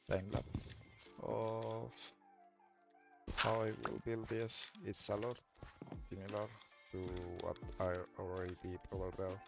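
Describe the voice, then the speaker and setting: A man from Colombia with a Colombian Spanish accent, Spanish as his first language, giving relaxed gameplay commentary while hesitating and searching for words. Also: on the low side, vocal fry, low-quality recording. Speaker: let's play creator.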